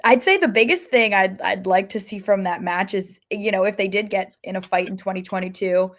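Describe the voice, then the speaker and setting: Female American co-host, conversational sports podcast delivery, medium pitch. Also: a little fast, a bit bright. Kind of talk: casual sports podcast chat.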